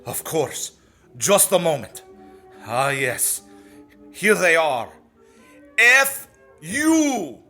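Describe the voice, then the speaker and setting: A man's voice: fairly high pitch, huge pitch swings, drawn-out words, long pauses, sharp tone, faint music behind, exaggerated voice. A man playing a fussy, polite, easily flustered Eastern European general in a comedic audio drama. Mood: dazed, compliant.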